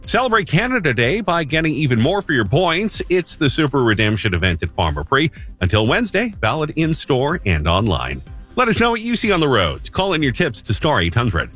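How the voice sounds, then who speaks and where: Man, radio traffic report, brisk delivery, quick pace, lively pitch. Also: soft background music. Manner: brisk, rattling off road updates.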